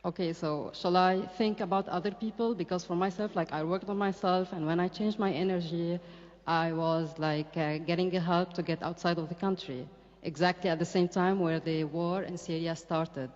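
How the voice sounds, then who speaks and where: An audience member asking a question softly and haltingly, often saying "like". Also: medium pitch, fairly even intonation. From Lebanon. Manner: hesitant, soft, halting, uses "like" often. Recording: muffled recording in a reverberant hall.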